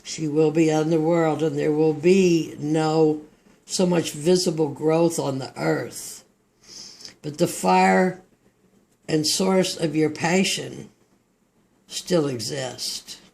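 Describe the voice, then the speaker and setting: Male tarot reader, Texas accent, fairly high voice, unhurried and rambling. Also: steady tone, creaky, a little mellow. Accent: Texan. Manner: slow, meandering, reflective delivery. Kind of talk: tarot card reading.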